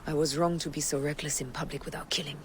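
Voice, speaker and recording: Actress as cold, low-voiced assassin leader. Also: female voice, fairly even intonation, slight background noise, close to an everyday voice. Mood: cold resolve. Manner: deliberate, intense, cool. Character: cold, resolute ancient female assassin leader. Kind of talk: solemn video game cutscene acting. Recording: loud music behind the voice. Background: loud music.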